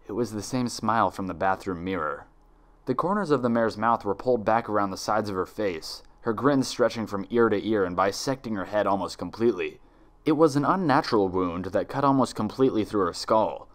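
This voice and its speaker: A man's voice: lowish voice, animated, fairly quick. A man reading scripted fiction aloud as a dramatic story narrator.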